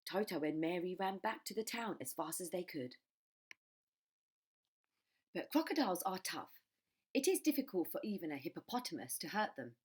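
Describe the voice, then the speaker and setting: A woman's voice: fairly low pitch, big pitch swings, normal speed, pauses often, a little mellow, slightly rough. A woman narrating a children's storybook like a teacher, with dramatic, careful phrasing.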